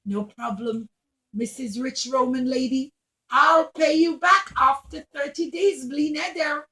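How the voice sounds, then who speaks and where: A woman's voice: high voice, unhurried, sharp tone. A woman voicing a humble old male rabbi who speaks earnestly and pleadingly.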